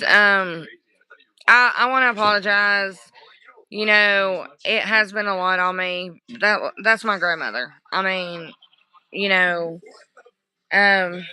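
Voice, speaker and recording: A woman livestreaming casual chat to her viewers, hoarse from screaming, rambling and apologetic. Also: medium pitch, bright, forceful tone, rough recording.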